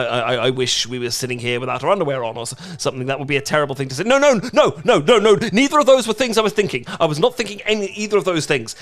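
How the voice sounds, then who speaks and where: A man's voice: medium pitch, fairly bright tone, close to an everyday voice. A man playing an awkward, anxious, smitten British dork in a romantic ASMR roleplay, stammering and apologetic as he goes. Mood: flustered, bashful, then panicked, mortified. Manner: stammering, flustered, halting, apologetic.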